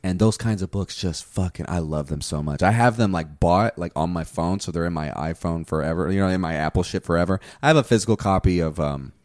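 A man's voice: low-pitched, brisk, few pauses, darkish tone, no echo, clean studio sound. A man in his twenties chatting casually, rambling and swearing, with lots of fillers. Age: 20s. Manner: rambling, profane, many fillers. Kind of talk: casual chat.

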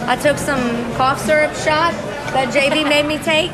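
A woman, a teacher, chatting casually with friends; her voice is a little raspy. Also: fairly even intonation, moderate pace. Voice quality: raspy, slightly deeper seasonal voice. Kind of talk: casual group chat. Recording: loud crowd noise around.

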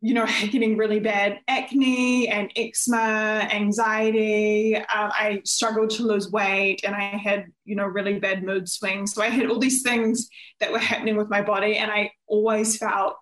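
Female interview guest, young adult, New Zealand accent, conversational, mid-pitched, noticeably roomy sound. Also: little pitch movement, normal speed, fairly bright tone. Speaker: interview guest, nutritionist and company founder.

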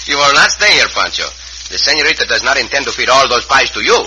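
An actor playing a gallant, confident Mexican cowboy hero with a Mexican accent and formal, courtly phrasing in an old radio western. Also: male voice, mid-range voice, very animated, quick pace, close to an everyday voice. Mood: easygoing. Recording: hissy, crackly vintage radio recording.

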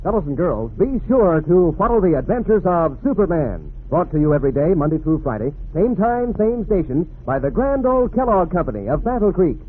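Folksy old radio ad guy talking to kids, really animated and peppy, thin tinny vintage sound. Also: high-pitched, a little fast, hardly any pauses, a little hiss or hum. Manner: folksy, peppy, enthusiastic salesmanship. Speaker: radio announcer and pitchman. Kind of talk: 1940s radio advertising aimed at children. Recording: narrow-band vintage radio recording.

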